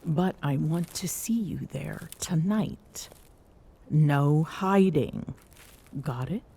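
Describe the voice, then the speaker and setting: Woman acting low, breathy, smitten Amazonian queen. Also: takes it slowly, heavily acted. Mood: commanding, fond. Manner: teasing, soft, breathy, slipping into whispers. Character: tall Amazonian queen, smitten, teasing, bossy yet tender. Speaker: voice actor in audio roleplay. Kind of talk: intimate ASMR roleplay acting.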